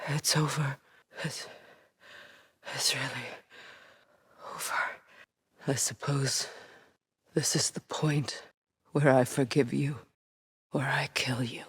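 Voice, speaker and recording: A woman's voice: extremely low pitch, steady tone, takes it slowly, pauses often, breathy edge, dry recording, clean studio sound, exaggerated voice. A woman acting a proud, grieving warrior goddess and sorceress in a video game, with a grave, intense, commanding manner. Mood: drained, conflicted.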